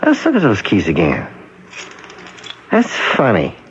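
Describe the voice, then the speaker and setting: A man acting an obliging small-town locksmith in a radio drama, husky and folksy in his chatty talk. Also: deep voice, wildly swooping pitch, a little slow, a little hiss or hum, exaggerated voice. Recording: dull, muffled old radio recording.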